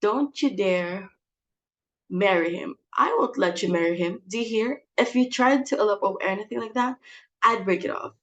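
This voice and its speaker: A woman's voice: mid-range voice, normal speed, natural voice. A woman acting a domineering, melodramatic middle-aged society hostess in a dramatic play reading, theatrical and exasperated. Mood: indignant.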